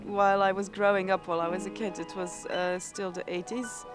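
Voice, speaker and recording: A woman in her twenties with a Croatian accent, a pianist answering interview questions thoughtfully, pausing and repeating words. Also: medium pitch, flat delivery. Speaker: competition-winning pianist. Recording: music playing underneath.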